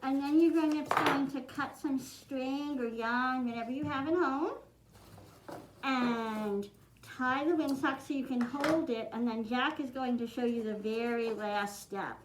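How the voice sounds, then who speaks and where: A woman's voice: sits high, measured pace. A woman demonstrating a craft for children, giving simple step-by-step instructions in an encouraging way. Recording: roomy echo, clearly noisy, clicking.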